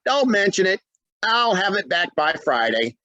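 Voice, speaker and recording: A man's voice: high voice, big pitch swings, moderate pace, pushed and bright, dull audio, a little hiss or hum, heavily acted. A man acting a genial family friend with salesman-like patter in an old-time radio comedy. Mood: helpful.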